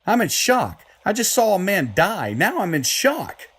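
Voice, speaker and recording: An actor playing a troubled condemned killer who confesses in a plain-spoken, easily worked-up way, in a horror audio drama. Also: male voice, high voice, very animated, a little slow, fairly bright tone, husky, exaggerated voice. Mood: shaken disbelief.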